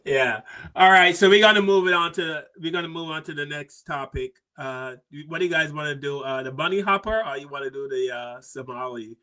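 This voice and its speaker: A man's voice: a little high, a little fast, pushed and bright. A man from Barbados hosting a podcast, talking casually and asking questions, repeating "why isn't that enough?". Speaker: podcast host. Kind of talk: casual opinionated discussion.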